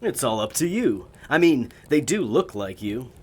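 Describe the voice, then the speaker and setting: An actor playing a polite, earnest gentleman magician in an audio drama, speaking courteously and a little formally. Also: medium pitch, sing-song, unhurried, slightly rough. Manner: courteous, slightly formal phrasing.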